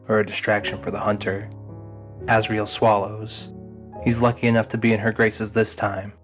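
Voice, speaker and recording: A man reading scripted dramatic narration as the narrator of an audio drama. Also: lowish voice. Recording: music behind the voice.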